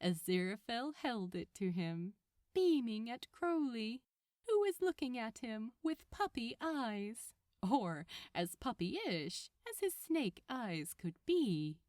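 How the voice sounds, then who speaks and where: A woman gives an expressive scripted reading of a story. Her voice is a little high and very melodic, and she takes her time. The recording is dry, with hardly any room sound. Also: takes it slowly, dry recording. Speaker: podfic narrator.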